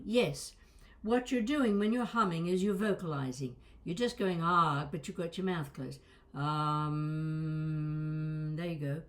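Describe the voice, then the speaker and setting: An older woman voice coach giving an informal lesson, conversational and digressive with wry asides, with a slight crackle and small breaks in her voice. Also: mid-range voice. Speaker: voice coach and presenter. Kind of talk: informal instructional talk to camera.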